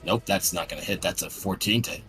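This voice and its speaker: A man with a fairly low voice talks through his moves in a tabletop game. He is casual and blunt, and faint music plays underneath. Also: a little fast, pushed and bright.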